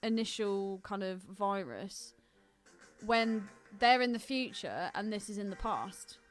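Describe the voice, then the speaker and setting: A woman's voice: medium pitch, expressive, slow pace, faint music behind. A woman co-hosting a podcast, chatting casually and bluntly, often questioning what she hears. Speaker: podcast co-host. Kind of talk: casual unscripted film podcast chat.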